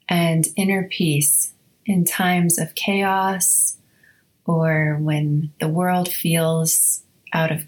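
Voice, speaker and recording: A woman hosting a guided meditation, speaking in a soothing, measured way with long pauses between short phrases. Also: on the low side, poor audio. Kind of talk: slow guided meditation narration. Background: instrumental music.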